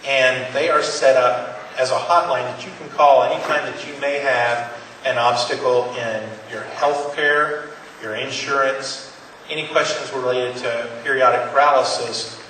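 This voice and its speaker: A man's voice: mid-range voice, fairly even intonation, a little slow, sharp tone. A man presenting at a conference to patients, earnest and encouraging, with frequent restarts. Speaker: pharmaceutical company presenter. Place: conference room. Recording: echoey hall from far off, faint noise.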